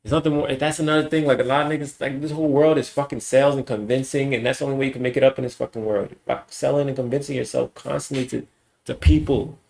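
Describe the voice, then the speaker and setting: Blunt, gravelly man ranting on stream. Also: mid-range voice, fairly even intonation, quick pace, a little mellow. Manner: blunt, profane, emphatic ranting. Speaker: livestreamer. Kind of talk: casual stream monologue.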